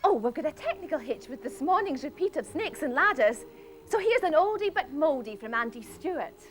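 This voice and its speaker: An actress playing a chirpy woman radio announcer with bright, sing-song delivery. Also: sits high, sing-song, normal speed.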